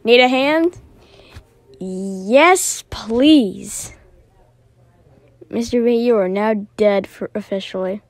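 A woman acting out lines as voiceover for an animated fan skit. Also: a little high, slow pace, pauses often, dry recording.

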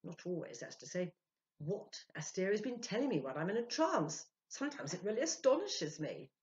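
A woman's voice: mid-range voice, sing-song, normal speed, a little mellow, pitched above the performer's own voice, natural voice. A woman in her sixties voicing an earnest, self-important male spirit medium in a storybook reading, emphatic and self-justifying. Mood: candid, defensive. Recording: dull lo-fi home recording.

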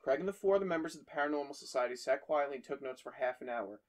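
A man's voice: mid-range voice, racing. A man reading a horror story aloud on a podcast, stopping for jokey asides to his friends. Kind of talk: casual story reading with banter.

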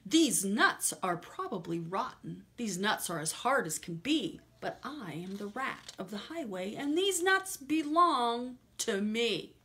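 A woman voicing a greedy, rude male rat highwayman from a picture book, loud and commanding. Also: big pitch swings, takes it slowly, few pauses, exaggerated voice. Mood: scornful.

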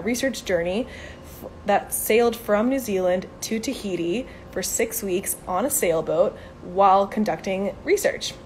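A woman's voice: mid-range voice, slight background noise. A woman in her twenties, from Venezuela, chatting on a live stream and answering viewer questions in an upbeat, filler-filled way, filmed on a phone held at arm's length outdoors by a brick wall. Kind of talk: casual live chat. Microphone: phone camera at arm's length.

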